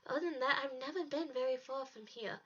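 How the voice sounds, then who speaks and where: Woman doing an eager high-voiced little German boy. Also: fast, bright, forceful tone, slightly rough, dull audio, exaggerated voice. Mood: wistful. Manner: eager, childlike, innocent. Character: excitable, curious ten-year-old German village boy. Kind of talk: storybook character voice.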